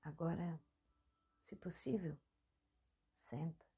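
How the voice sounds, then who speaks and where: A Brazilian woman hosting a guided meditation, speaking softly and breathily in short, soothing phrases. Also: sits low, slow pace, husky. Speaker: guided meditation podcast host.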